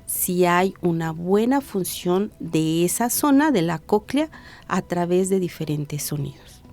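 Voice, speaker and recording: A woman physician with a Mexican Spanish accent, explaining patiently and didactically in an interview, slowing down to stress key points. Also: on the low side, lively intonation, a little mellow, soft background music. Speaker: physician, hearing and speech specialist.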